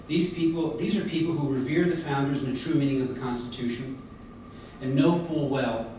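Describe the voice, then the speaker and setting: Middle-aged guy giving an earnest convention speech, lots of uhs, echoey room with steady noise. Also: mid-range voice, a little fast. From United States. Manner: earnest, with frequent fillers and restarts. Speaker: convention speaker. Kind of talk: prepared speech at a podium. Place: large hotel conference hall, indoors. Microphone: podium mic, filmed by a far camera. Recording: echoey hall from far off, background noise.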